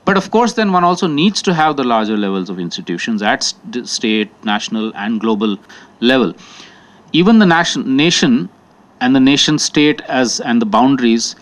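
A man's voice: medium pitch, lively intonation, normal speed. A man from India, speaking as a panelist and making his points thoughtfully with many fillers and restarts. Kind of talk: panel discussion remarks. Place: conference hall.

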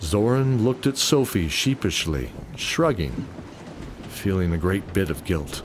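A man narrating a radio play in a deliberate, descriptive, dramatic storytelling style. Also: low voice, very animated, noisy background. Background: music bed.

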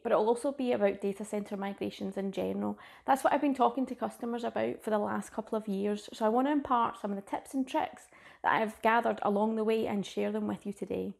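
A woman's voice: mid-range voice, creaky, darkish tone. A Scottish woman in her thirties, a cloud advocate giving an enthusiastic, chatty, informal presented tech talk to a desk webcam in a small room. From Scotland. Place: small indoor room with a plain wall.

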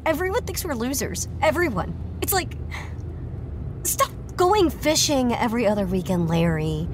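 A sullen fourteen-year-old runaway girl in an audio drama. Her voice is high, and she is blunt, sarcastic and quick to swear. Clear noise of vehicles and ambience sits behind her. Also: sing-song. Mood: angry, exasperated. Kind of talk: naturalistic scripted audio drama acting. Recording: noisy outdoor ambience with vehicle sounds.

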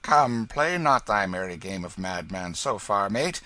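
A man's voice: on the low side, expressive, unhurried, sharp tone, no echo. A man voicing a wily, bullying beggar-thief in a storybook, blustering and cajoling in archaic rogue's speech.

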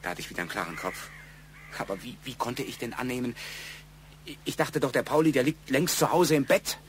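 An actor playing an evasive, defensive man under questioning in a German radio crime drama, speaking haltingly. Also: mid-range voice, slight background noise, natural voice. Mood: halting confession.

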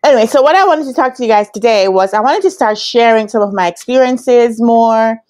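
Jamaican woman in her thirties, relaxed chatty vlogger speaking into a laptop webcam in a hotel room. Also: fairly high pitch, a little fast. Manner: chatty, laughs often, talks straight to viewers. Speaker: vlogger and business analyst coach. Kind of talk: casual storytelling vlog. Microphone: laptop webcam at desk.

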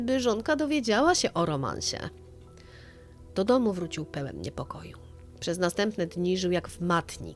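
Low-voiced woman narrating over music. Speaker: narrator of a true-crime story. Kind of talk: scripted dramatic audio-story narration. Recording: music bed under the voice. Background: background music.

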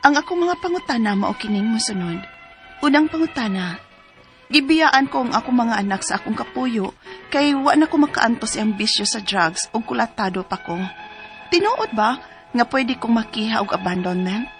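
A woman's voice: on the high side, animated, normal speed, a bit bright. A woman with a Cebuano accent acting an anguished battered mother who pines for her children in a radio drama. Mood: pleading. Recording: background music under the voice.

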